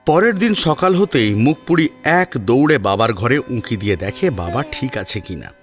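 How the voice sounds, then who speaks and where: Male narrator for a dramatic audio serial: mid-pitched, highly animated intonation, expressive scripted read over soft strings. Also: moderate pace. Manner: expressive storytelling. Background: soft sitar and plucked string music.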